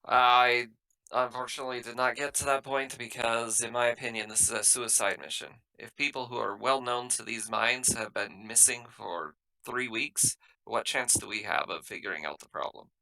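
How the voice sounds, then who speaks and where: A man playing a tabletop roleplaying game, explaining things in a halting, matter-of-fact way as he improvises in character. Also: mid-range voice, fairly even intonation, vocal fry, pushed and bright, poor audio.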